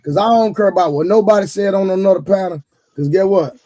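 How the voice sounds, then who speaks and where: Hoarse, slangy man hosting a live stream. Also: sits high, fast, dark tone, dry recording. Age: adult with an eleven-year-old son. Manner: loose, slangy, teasing. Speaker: live panel stream host. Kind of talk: casual live-stream banter.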